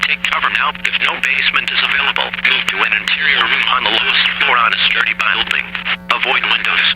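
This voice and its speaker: Synthetic male announcer, formal warning readout, hard bright tone. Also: mid-range voice, fairly even intonation, fast, low-quality recording. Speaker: weather alert announcer.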